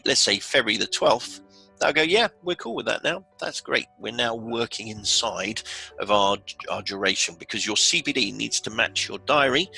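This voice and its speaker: A man with a fairly low, very bright and forceful voice presents an instructional screencast. There is noticeable creak in his tone. He explains informally, one step at a time, like a casual software tutor. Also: low-quality recording.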